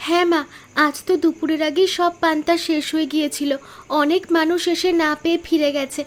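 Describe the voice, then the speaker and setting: A woman's voice: high voice, fairly even intonation, brisk, natural voice. A woman voicing a dutiful, eager village daughter in a cartoon story, earnest and obliging.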